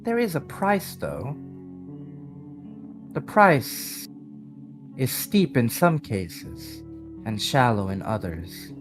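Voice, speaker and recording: A man voicing a solemn, cryptic and sympathetic mysterious woman in an audio drama, speaking in formal, measured phrases. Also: mid-range voice, huge pitch swings, heavily acted. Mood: solemn, foreboding. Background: guitar music.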